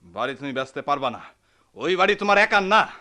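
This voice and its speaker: An actor playing an indignant, meddling villager in a radio drama, argumentative and emphatic, with a rural Bangladeshi accent. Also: male voice, a little high, animated, a little fast, bright, forceful tone. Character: indignant, meddling older brother-figure in a village quarrel. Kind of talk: Bengali radio drama acting.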